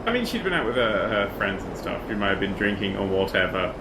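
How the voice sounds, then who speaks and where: Lively man with noise behind his voice. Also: lowish voice, fast talker. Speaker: livestream co-host. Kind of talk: casual comic storytelling and banter.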